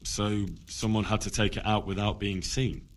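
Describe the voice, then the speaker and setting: A man's voice: fairly low pitch, flat delivery, normal speed, slight background noise, close to an everyday voice. An actor playing an earnest, stubborn young man investigating in a medieval video game drama. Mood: probing, earnest.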